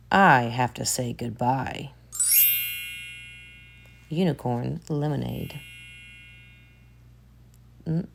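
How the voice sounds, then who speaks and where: Female teacher, storybook read-aloud; low, gravelly voice with lively swings, unhurried; hushed asides. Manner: expressive, drops to hushed whispered asides. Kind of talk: children's book read-aloud.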